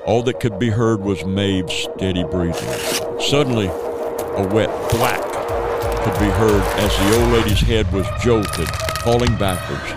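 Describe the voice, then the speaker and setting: A man narrating a horror audio drama in a dramatic, scripted storytelling style. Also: lowish voice.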